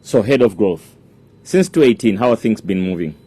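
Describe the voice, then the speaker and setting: A man hosting a radio interview in a halting way, restating things as he introduces guests and puts questions. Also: fairly low pitch, lively intonation, warm tone, breathy edge.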